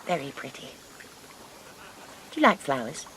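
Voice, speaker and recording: A woman's voice: extremely low pitch, sing-song, a little slow, a bit bright, a little hiss or hum, full character voice, poor audio. An actress playing a prim, formal spinster missionary woman in a radio drama. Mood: tender.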